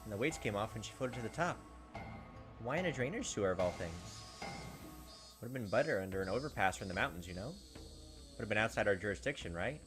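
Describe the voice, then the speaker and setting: Man playing a blunt police detective, lively pitch. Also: fast talker, long pauses, over music, lightly acted. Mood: businesslike. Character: blunt, callous male police detective at a crime scene. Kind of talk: visual novel character read-aloud.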